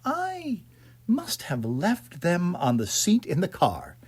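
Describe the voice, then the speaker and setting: A man voicing a fussy, uneasy gentleman house-hunter in an audiobook ghost story, musing aloud in clipped mutters. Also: high voice, wildly swooping pitch, slow pace, breathy edge, pitched above the performer's own voice, full character voice. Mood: mildly flustered.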